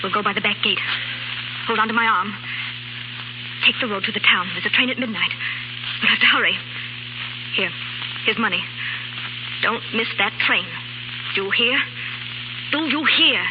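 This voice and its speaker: A stern household woman played by an actress, giving orders in a brisk, imperious, urgent way. The 1930s radio drama recording is muffled, with heavy background noise. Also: mid-range voice, lightly acted. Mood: urgent, commanding.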